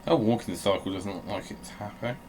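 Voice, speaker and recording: A man's voice: lowish voice, a little fast, a little mellow, a little hiss or hum. A man giving casual commentary while playing a game, rambling and muttering asides to himself. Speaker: host of a game playthrough channel.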